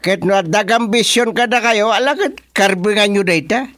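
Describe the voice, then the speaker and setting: A man with an Ilocano accent playing an authoritative middle-aged village chairman in a radio comedy-drama, speaking in a deliberate, speechifying way. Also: sits high, fairly bright tone, no echo. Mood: firm, placating.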